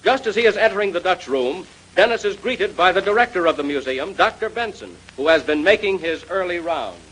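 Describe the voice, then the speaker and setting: A man's voice: high voice, lively intonation, brisk, fairly bright tone, a little hiss or hum, poor audio. A man with an American accent announcing and narrating in 1930s radio style.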